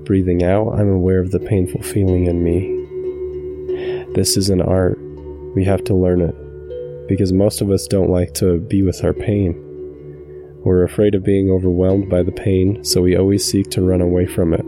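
A man guiding a meditation from a script in a calm, measured, soothing way. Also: low-pitched, music in the background. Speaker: meditation guide, channel host. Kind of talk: scripted guided meditation narration.

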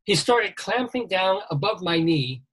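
Middle-aged male radio host with a husky voice; wisecracking news reader. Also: high-pitched, lively intonation, a little slow, fairly bright tone. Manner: jokey, reads news items with quips. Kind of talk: comedic news chat.

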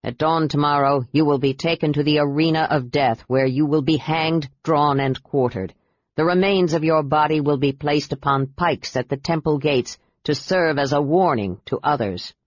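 A woman's voice: sits low, moderate pace, dry recording, lo-fi sound. A woman voicing a commanding, merciless male emperor passing judgment in a fantasy audiobook. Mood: stern. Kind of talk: audiobook character voice.

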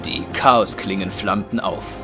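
Ein Mann als Erzähler eines Hörspiels, der einen geschriebenen Text feierlich und dramatisch vorträgt. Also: male voice, sits low, steady tone, unhurried, over loud music. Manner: solemn, dramatic. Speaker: narrator. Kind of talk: dramatic scripted audio drama narration.

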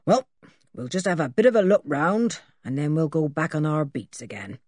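A woman's voice: low-pitched, big pitch swings, normal speed, a little mellow, no room sound, studio quality. A woman voicing a friendly, matter-of-fact village policeman in a storybook, with a ponderous manner. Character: stolid, friendly village policeman, adult man.

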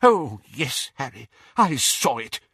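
A man voicing a frail, fussy old male wizard with a high-pitched, wheezy voice. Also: very animated, slow pace, vocal fry, sharp tone, husky, no room sound, exaggerated voice. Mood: indignant. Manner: flustered, tearful, indignant. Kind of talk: audiobook character voice.

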